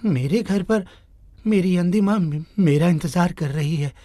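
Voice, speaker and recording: A woman voicing a devoted, gentle young village son in an animated moral story. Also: fairly low pitch, measured pace, no echo, clean studio sound. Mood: weak, pleading. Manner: earnest, gentle.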